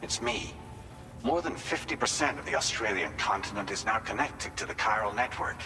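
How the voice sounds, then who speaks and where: A low-voiced male company representative from a sci-fi game, composed and courteous, with formal, assured phrasing. His voice carries a long reverb, and music plays clearly behind it. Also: lively intonation, normal speed. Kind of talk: video game cutscene dialogue.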